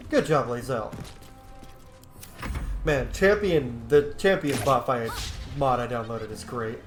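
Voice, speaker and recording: A man's voice: mid-range voice, slow pace. A man giving casual let's play commentary, thinking aloud about tactics with bursts of exclamation. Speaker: video game streamer. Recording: roomy sound over clear game music.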